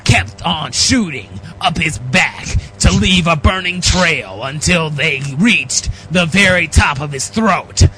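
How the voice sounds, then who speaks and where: A man narrates a story in a mock-dramatic amateur style. His fairly high voice moves with big swings at an unhurried pace, with faint music in the recording. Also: animated, pushed and bright. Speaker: reader, narrator.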